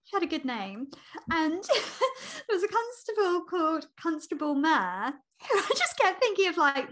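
A woman co-hosting a podcast, chatting casually about books in an expressive, chatty, giggly manner. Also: very high voice, moderate pace. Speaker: podcast co-host. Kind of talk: casual book discussion chat.

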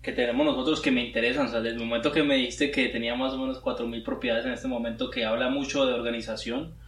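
A man's voice: mid-range voice, flat delivery, fast, fairly bright tone, reverberant, distant mic. A man co-hosting a podcast, asking questions with lots of fillers and rephrasings. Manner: hesitant, many fillers and rephrasings. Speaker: podcast co-host. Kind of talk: interview questions.

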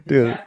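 A man's voice: high-pitched, slightly rough, dry recording. A young man as a podcast guest, chatting casually with lots of "dude" and "like" and frequent laughs. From Pakistan. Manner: laid-back, says "dude" and "like" a lot, laughs often. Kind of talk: casual chat.

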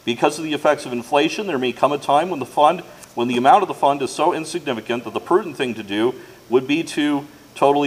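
A man speaking formally and in a measured way as a worship leader, reading announcements aloud to a congregation. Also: medium pitch, poor audio. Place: church during a service.

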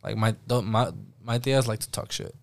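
A man with a gravelly, fry-tinged voice talks in a loose group chat. He swears and stumbles into restarts as he works out his point. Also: on the low side, steady tone, moderate pace. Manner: profane, hesitant, restarts often.

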